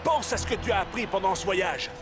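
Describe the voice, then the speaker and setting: A man's voice: high-pitched, animated, fairly quick. A man playing a stern, wise football coach in a cartoon. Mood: urging. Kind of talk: cartoon dialogue. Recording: music behind.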